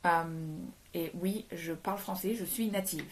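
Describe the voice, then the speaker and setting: A woman's voice: on the low side, unhurried. Chatty French woman teaching on a live stream. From France. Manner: chatty, greets and answers viewers' comments; slips English words into her French. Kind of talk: casual livestream lesson. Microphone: phone held for a live stream.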